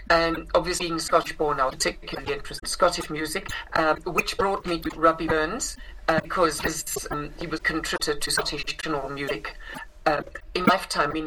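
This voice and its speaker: Rambling South African woman with steady hiss. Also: deep voice. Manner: rambling, many ums and restarts. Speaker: actress and guest. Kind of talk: casual interview. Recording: clear background hiss.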